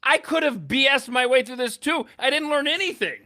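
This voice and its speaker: A man's voice: extremely high pitch, animated, brisk, sharp tone. A man hosting a casual podcast, exclamatory and incredulous as he banters in a studio. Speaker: podcast host.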